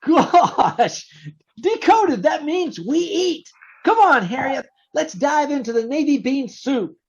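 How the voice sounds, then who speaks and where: High, squeaky, cheeky teenage boy. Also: sing-song, a little mellow, lo-fi sound, exaggerated voice. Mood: excited. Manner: exaggerated, gushing, slow drawn-out delivery. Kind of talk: old-time radio comedy acting. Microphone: video call.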